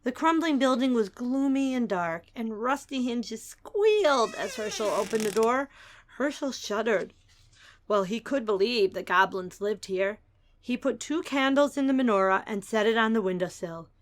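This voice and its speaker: A woman's voice: on the high side, expressive, normal speed. A woman narrator giving a scripted, dramatic reading of a storybook tale.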